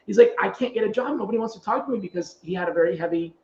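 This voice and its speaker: A man's voice: high-pitched, rapid-fire, darkish tone, echoey room, lo-fi sound. A middle-aged man chatting casually and telling anecdotes as a podcast host.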